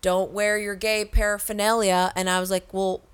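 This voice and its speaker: A woman telling stories casually and comically, with slangy, profane talk and animated quoting of other people. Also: medium pitch, moderate pace, smooth.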